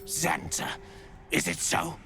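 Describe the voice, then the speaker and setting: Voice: takes it slowly, pushed and bright, soft background music. An actor voicing an earnest, devoted young giant spider in a video game. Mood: wondering.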